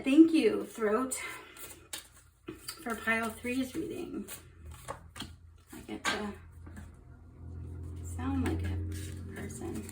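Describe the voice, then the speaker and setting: A young woman giving a casual tarot reading, chatty and upbeat with lots of fillers, her voice slightly hoarse. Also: a little high, distant mic, noisy background. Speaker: tarot reader. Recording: roomy echo, off-mic.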